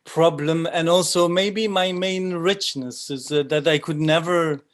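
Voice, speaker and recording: A man in his forties, a frame drummer, chatting on a video call with enthusiasm and lots of ums, his sentences often trailing off quietly. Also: on the high side, fairly even intonation, measured pace. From Belgium. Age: 40s. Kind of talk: casual online panel chat.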